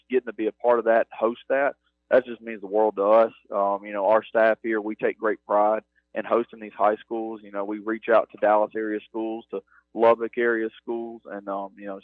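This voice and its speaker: A man's voice: lowish voice, dry recording. A man who runs game operations at a stadium, a guest on a halftime radio interview, talking earnestly and rambling with many "you know"s. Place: press box broadcast booth at a stadium. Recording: narrow phone-like radio broadcast, dry.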